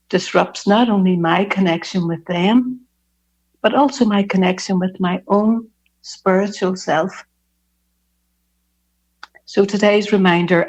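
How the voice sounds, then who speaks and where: A middle-aged woman with a Belfast accent speaks in a lowish, gravelly voice. She talks earnestly and reflectively, pausing with small hesitations. The sound is dull and lo-fi, as over an online call. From Northern Ireland. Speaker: speaker at a recovery fellowship meeting. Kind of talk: personal testimony talk.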